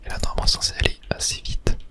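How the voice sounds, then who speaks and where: An ASMR game host speaks entirely in a breathy whisper, with no voiced tone. The delivery is casual, like someone thinking aloud while playing and explaining each step to viewers. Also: racing. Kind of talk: whispered ASMR gaming commentary.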